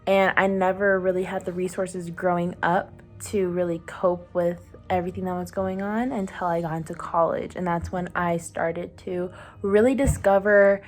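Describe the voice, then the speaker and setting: A woman's voice: fairly low pitch, fairly bright tone. A nineteen-year-old woman, a nursing student, speaking candidly about her own struggles. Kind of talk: personal testimonial. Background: music.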